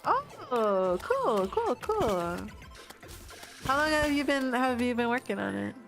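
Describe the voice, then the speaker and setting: Slow-talking American woman streamer. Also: high voice, sing-song, fairly bright tone, faint music behind. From United States. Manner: chatty, swears casually, laughs often, reacts to chat. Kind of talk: casual gaming livestream chat.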